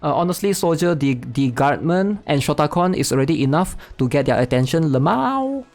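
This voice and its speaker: A man's voice: a little high, lively intonation, fairly quick, a little mellow, soft background music. A man hosting a gaming channel, casually commenting while reading online posts aloud and often tagging sentences with "right?". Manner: chatty, tags sentences with "right?".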